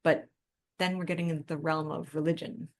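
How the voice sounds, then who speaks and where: Woman, somewhat low-pitched, podcast co-host, conversational, analytical, measured, reflective. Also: flat delivery, slight background noise. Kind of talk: casual analytical discussion.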